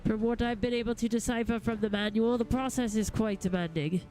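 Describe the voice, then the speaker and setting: A woman's voice: a little high, steady tone, a little fast, warm tone, faint music behind, lightly acted. A woman voicing a genteel, theatrical goldsmith lord from a fantasy game, with formal, flowery and precise diction. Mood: grandiose. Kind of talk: reading fantasy game dialogue aloud.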